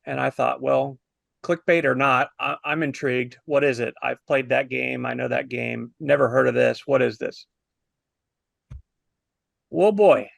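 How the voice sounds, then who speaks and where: A man in his fifties telling a story in a casual, animated review on a video call, wordy and posing himself questions. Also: medium pitch, unhurried, gravelly, no room sound. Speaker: film review panelist.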